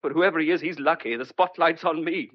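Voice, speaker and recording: A man's voice: on the high side, a little fast, breathy edge. An actor playing a desperate, stubborn but tender man on the run, speaking earnestly and hesitantly in old-fashioned clipped phrases in a radio thriller. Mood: agitated, bitter. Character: desperate fugitive man wrongly suspected of murder, stubborn, tender. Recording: dull lo-fi old radio drama recording.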